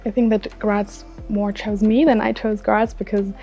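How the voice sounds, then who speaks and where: Gravelly, flat-voiced young German woman. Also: medium pitch, moderate pace, soft background music. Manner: hesitant, many fillers and restarts. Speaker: master's student. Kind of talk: unscripted testimonial.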